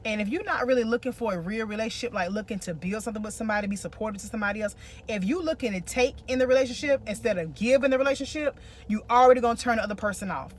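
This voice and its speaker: A woman's voice: a little high, rapid-fire, slight background noise. An American woman co-hosting a relationship vlog, giving casual advice and repeating phrases for emphasis.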